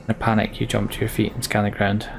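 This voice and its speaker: A man's voice: fairly low pitch, monotone, fast talker, vocal fry, noisy background. A man playing a video game, reading its story aloud dramatically with casual, mildly sweary asides. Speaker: let's player voicing a game's text. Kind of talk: gameplay commentary and dramatic read-aloud.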